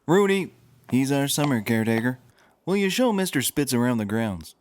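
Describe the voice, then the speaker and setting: A man's voice: mid-range voice, big pitch swings, normal speed, dry recording, lightly acted. Man as prim motel manager, dry close recording. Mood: exasperated. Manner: formal, proper, disapproving. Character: prim, fussy, exasperated male motel manager. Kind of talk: scripted comedy audio drama.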